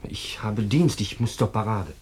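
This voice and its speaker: A man's voice: on the low side, unhurried, a little hiss or hum, natural voice. An actor in a German radio drama playing an evasive, self-pitying policeman with a clipped, defensive delivery. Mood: defensive, cold. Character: evasive, self-pitying policeman who jilted his bride.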